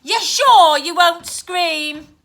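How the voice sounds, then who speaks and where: A woman's voice: very high voice, very slow, a bit bright, voice pushed up, heavily acted. A woman voicing an impatient, cheeky Cockney ghost boy in a children's storybook, blunt, slangy and mocking. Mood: annoyed. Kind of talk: children's storybook read aloud.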